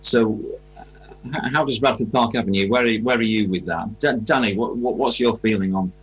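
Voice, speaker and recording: A man interviewing informally for radio, asking rambling questions in a hesitant, halting way. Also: lowish voice, husky, poor audio.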